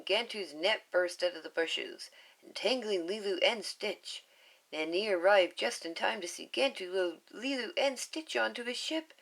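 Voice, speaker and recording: A woman's voice: medium pitch, creaky, poor audio. A woman reading a children's storybook aloud, with casual asides and chuckles. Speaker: storybook reader.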